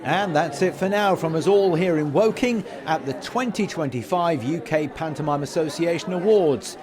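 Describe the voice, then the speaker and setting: A man's voice: fairly high pitch, animated, moderate pace, a crowd in the background. A man hosting a podcast and interviewing guests on location at an awards event. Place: inside a theatre at an awards event.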